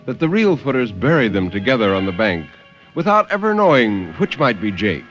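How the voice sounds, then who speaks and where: A man reading horror tales in an intense, deliberate, theatrical 1950s radio storytelling style. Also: mid-range voice, big pitch swings, fairly bright tone, muffled recording, soft background music. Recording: noisy vintage 1950s radio recording.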